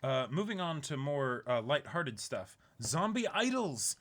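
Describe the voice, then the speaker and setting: A young man with a bright, crisp-edged voice sits in a small room hosting a casual video. He reads aloud in an informal, halting way, prone to chuckling between phrases. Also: a little high, big pitch swings. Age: young adult. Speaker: YouTube unboxing host. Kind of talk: reading a viewer letter aloud, casual. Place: small indoor room, seated.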